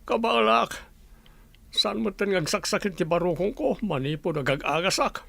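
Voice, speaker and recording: A man with an Ilocano accent playing a hot-headed, protective middle-aged father in a radio drama, forceful and insistent. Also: high voice, animated, normal speed, vocal fry, no room sound, heavily acted. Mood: indignant, determined.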